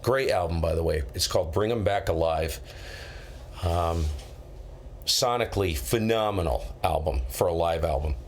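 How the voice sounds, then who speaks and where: A middle-aged man with an American accent and a deep voice talks casually over light background noise. He hosts a live stream, rambling through stories and slipping into quoted dialogue as he goes. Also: normal speed. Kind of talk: casual chat, rambling anecdotes.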